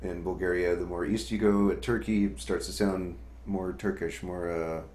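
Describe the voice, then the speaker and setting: A man's voice: deep voice, fairly even intonation, normal speed, darkish tone. A man who is a guest musician, explaining things in a loose, rambling way with many restarts. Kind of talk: casual interview talk.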